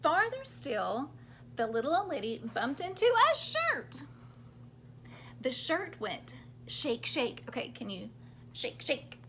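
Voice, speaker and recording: A woman storyteller reads to young children with a very animated, fairly high voice. She takes it slowly and invites listeners to join in, with faint noise in the recording. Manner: interactive, prompting children with questions and sound words. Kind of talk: expressive read-aloud storytelling for young children.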